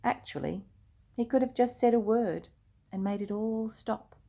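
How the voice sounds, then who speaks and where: Slow, gentle older woman reading a story. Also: fairly high pitch. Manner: slow, gentle and hushed, dropping to near whispers. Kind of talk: reading a children's storybook aloud.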